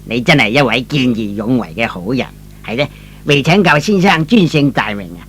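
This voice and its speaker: A man's voice: medium pitch, expressive, fairly quick, fairly bright tone, pitched below the performer's own voice, natural voice. A man playing a kindly, grateful older father, a well-off merchant, courteous and theatrical in a radio drama. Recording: faintly noisy old radio recording.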